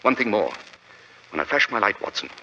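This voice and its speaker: Bossy british detective in old radio play, piercing voice, big dramatic swings, muffled noisy tape. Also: male voice, on the low side, very animated, fairly quick, sharp tone, big-room sound, exaggerated voice. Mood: tense, commanding. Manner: crisp, authoritative, theatrical. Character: commanding, sharp-witted male London detective, sardonic and cool-headed. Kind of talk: 1940s radio drama acting.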